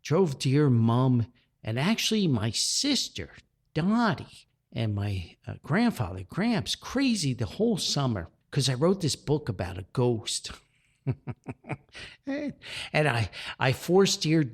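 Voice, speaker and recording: Animated New man telling personal stories. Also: mid-range voice, slow, husky. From Paterson, New Jersey, United States. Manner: folksy, sentimental, earnest, chuckling. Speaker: podcast host and author. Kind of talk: reflective podcast storytelling.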